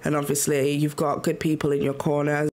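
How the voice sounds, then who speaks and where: A woman's voice: low voice, level tone, a little fast, darkish tone. A woman interviewing a young guest, a little sick and nasal, earnest and supportive with frequent ums. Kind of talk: video interview.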